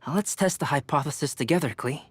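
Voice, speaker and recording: A man voicing a calm, analytical young alchemist in a video game, measured and methodical. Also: a little high, a little hiss or hum, natural voice. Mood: calm reasoning.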